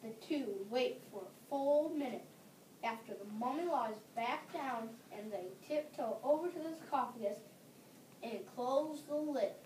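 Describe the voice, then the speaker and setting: A female narrator reading a scripted story aloud for readers' theater, with halting phrasing. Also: sits high, darkish tone. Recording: distant mic, echoey room, clear background noise.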